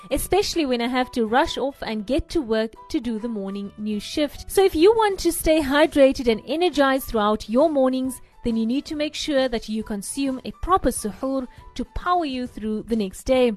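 A woman with a South African accent, a radio presenter reading scripted lifestyle tips. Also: sits high. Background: music.

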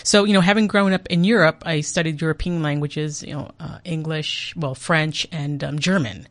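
A woman in her fifties, a diplomat answering interview questions in a measured, friendly way with soft laughs and fillers. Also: sits low, fairly even intonation. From United States.

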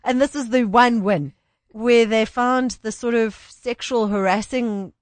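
A woman's voice: fairly high pitch, fairly even intonation, a little slow, no room sound. A woman co-hosting a casual chat, wry and opinionated.

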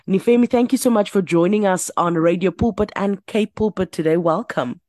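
A woman hosting a radio interview, asking questions in a measured, deliberate way. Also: mid-range voice, mellow, no echo, clean studio sound. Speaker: radio host.

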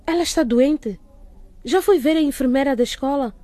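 An actress playing a firm but kind school principal, speaking in a calm, authoritative manner. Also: female voice, sits high, moderate pace, no room sound, close to an everyday voice. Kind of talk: radio drama acting.

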